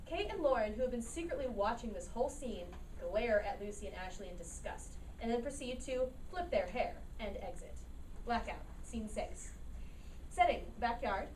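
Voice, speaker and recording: A woman's voice: on the high side, lively intonation, moderate pace, darkish tone. A woman reading stage directions as scripted narration at an amateur play reading. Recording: roomy, distant mic, faint noise.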